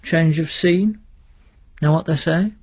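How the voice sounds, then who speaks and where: A man's voice: on the high side, slow, a little mellow, breathy edge, pitched above the performer's own voice. A man voicing a chummy, cheeky young man who talks in a familiar, teasing way in a story reading. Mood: chummy, insinuating.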